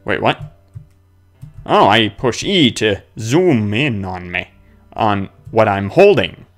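A man's voice: lowish voice, lively intonation, slow, faint music behind. A man giving casual, playful video game commentary as a let's play host, talking back to the game's characters.

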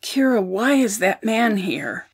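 A woman's voice: mid-range voice, expressive, slow, breathy edge, heavily acted. A woman playing a solemn, grieving and protective old woman in an audio drama. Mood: anxious, protective.

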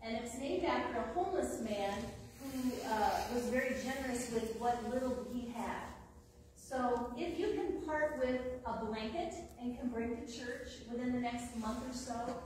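A woman's voice: on the high side, unhurried, a little mellow, slight background noise. A middle-aged woman making an unscripted announcement in a church, speaking in an earnest, chatty way without a microphone. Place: large church sanctuary. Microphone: unmiked, picked up from far off. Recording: echoey sanctuary from far off.

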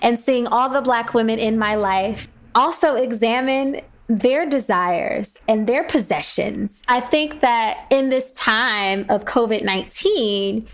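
A woman with a fairly high voice speaks as a guest in an interview. She talks in a reflective, conversational way about her own work as a writer. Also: slow, hardly any pauses.

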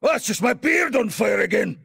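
Gravelly, shouting Scottish dwarf. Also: male voice, sits high, animated, moderate pace, exaggerated voice. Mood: flirtatious. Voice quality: gravelly, raspy. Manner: hearty, blustering, forceful. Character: gruff, fiery, boisterous male Dark Iron dwarf. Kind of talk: video game character voice lines.

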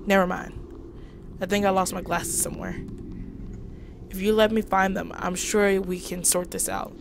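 A woman's voice: medium pitch, steady tone, normal speed. A woman co-hosting a gaming video, reading game dialogue aloud and chatting casually. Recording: noisy home recording.

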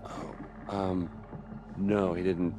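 An actor playing a nervous, cheeky young rebel in a game, gravelly and hesitant, stammering evasively. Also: male voice, low voice, flat delivery, very slow. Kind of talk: video game cutscene acting. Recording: loud music under the voice.

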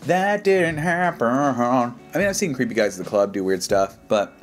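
A man's voice: mid-range voice, very animated, moderate pace. A man giving casual, slangy, skeptical commentary and reading posts aloud in a joking way. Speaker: host of a reaction show. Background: background music.

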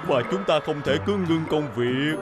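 A man plays a responsible talking truck in a children's cartoon. His hearty voice sits slightly high. Loud music plays underneath. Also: moderate pace, close to an everyday voice. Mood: serious. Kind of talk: Vietnamese cartoon dub acting.

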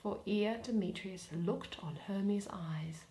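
A young woman reading a play in verse aloud, dramatically and expressively, pausing between phrases. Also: medium pitch, mellow. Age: young adult. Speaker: reader of a Shakespeare play. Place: small plain room indoors.

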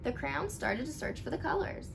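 A young woman reading a picture book aloud expressively, with animated, deliberate emphasis for young listeners. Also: sits high. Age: young adult. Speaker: storybook reader. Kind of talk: expressive children's read-aloud. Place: living room at home. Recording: clearly noisy home recording.

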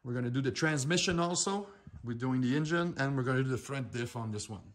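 A middle-pitched man in his fifties hosts a do-it-yourself shop vlog. He talks casually and loosely, restarting phrases and filling gaps with "uh". He is recorded on a phone camera held at arm's length in an indoor garage workshop. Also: expressive, fast talker. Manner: chatty, rambling, with many restarts and "uh" fillers. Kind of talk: casual how-to talk.

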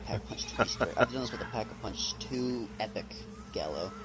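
A man's voice: on the low side, expressive, brisk. A man streaming a video game, casually chatting and instructing his teammates in an informal way. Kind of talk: casual gaming chat. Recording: loud game noise over voice.

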